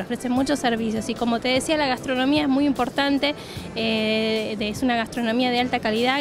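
A woman in her thirties with an Argentine accent, a city tourism official answering interview questions fluently at a trade fair stand, with many "eh" fillers, into a handheld mic. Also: a little high. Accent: Argentine Rioplatense Spanish. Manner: fluent promotional listing, frequent "eh" fillers. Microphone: handheld reporter mic.

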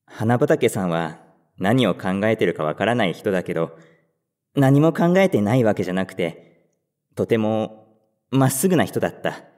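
A man's voice: lowish voice, expressive, normal speed, darkish tone, dry recording, clean studio sound, lightly acted. A man playing a timid, stammering young office worker. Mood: flustered. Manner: hesitant, stammering, flustered. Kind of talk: first-person narration and dialogue.

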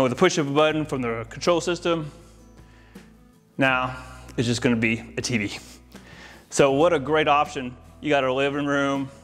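A man's voice: a little high, fairly bright tone. An American man in his forties giving an enthusiastic, informal walk-through to camera as a home installer. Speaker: home audio-video installer giving a tour. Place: inside a large, mostly empty house.

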